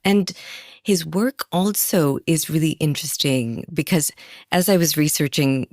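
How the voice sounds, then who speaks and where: A woman hosting an interview, speaking in a measured, deliberate, conversational way. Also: low-pitched, a little mellow, dry recording, studio quality. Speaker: podcast host, interviewer.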